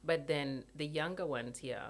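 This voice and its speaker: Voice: medium pitch, a little slow. Earnest young adult. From South Sudan. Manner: earnest, repeats points, laughs lightly. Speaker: guest in a conversation. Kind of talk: casual chat.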